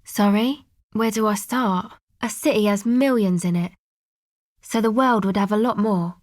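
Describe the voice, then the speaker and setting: A woman's voice: medium pitch, unhurried, a little mellow, dry recording, clean studio sound, natural voice. A woman voicing a laid-back, streetwise young woman in a video game, with a casual, slangy, offhand delivery that is blunt but sympathetic. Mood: guarded, casual. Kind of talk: naturalistic video game dialogue.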